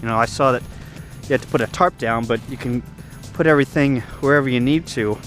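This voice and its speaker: A man's voice: mid-range voice, fast, fairly bright tone, soft background music. A man giving an informal, unscripted walkthrough of a camp setup outdoors, explaining things and thinking aloud as he goes. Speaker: ham radio hobbyist giving a video tour. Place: outdoor campsite.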